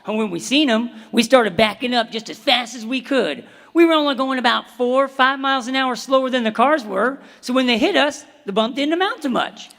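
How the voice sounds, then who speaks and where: Brief: a woman doing a plucky country boy, high voice, folksy talk, echoey theater stage. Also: fairly quick, vocal fry, few pauses, a bit bright. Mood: bashful. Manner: folksy drawl with country grammar. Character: eager young frontier boy, plucky.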